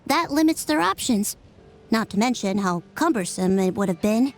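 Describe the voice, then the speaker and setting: A woman's voice: medium pitch, animated, normal speed, soft background music, close to an everyday voice. A woman playing a brainy nonhuman young female sleuth in a video game, earnest and methodical as she explains things precisely. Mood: analytical deduction.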